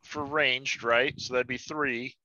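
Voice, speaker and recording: A man with a bright, forceful voice chats casually as a tabletop game player. The recording is slightly dull and dry, as if from a modest microphone. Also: medium pitch, steady tone, slow pace, no room sound.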